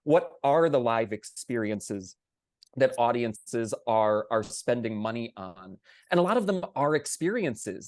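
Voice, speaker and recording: A man's voice: mid-range voice, no room sound, studio quality. Man making emphatic points in discussion. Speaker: theater panelist. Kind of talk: panel discussion.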